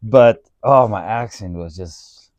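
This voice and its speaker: A podcast host from South Africa with an Afrikaans accent, telling stories in a casual chat, animated and swearing, often saying "dude". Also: male voice, fairly low pitch, slow, no room sound, highs cut off. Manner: animated, swearing storyteller who says "dude" a lot.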